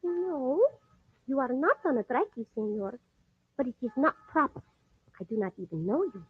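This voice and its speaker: A proud young señorita in an old radio drama, prim yet coquettish. Her voice is high and very lively in pitch. The dry recording is thin, almost like a phone line. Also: moderate pace, slightly rough, no echo, full character voice. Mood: coy.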